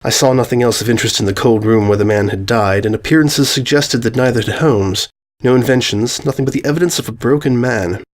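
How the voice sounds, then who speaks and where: Guy narrating a story off a script, middle-pitched voice. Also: brisk.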